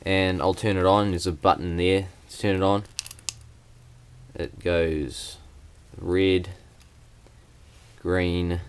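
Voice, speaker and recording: A young man, speaking in a deep voice that barely moves in pitch. He talks plainly and haltingly, with many fillers, as he shows things to a camera. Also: level tone, a little hiss or hum. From New Zealand. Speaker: vlogger. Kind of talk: casual unboxing vlog.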